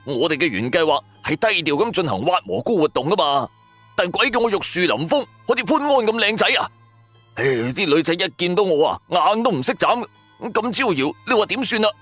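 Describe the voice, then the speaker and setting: A man's voice: high voice, very animated, a little fast, a bit bright, dry recording, soft background music, pitched above the performer's own voice, full character voice. A man voicing a vain, boastful young sidekick in a Cantonese audio drama, showy and teasing. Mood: smug boasting. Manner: showy, self-satisfied, teasing.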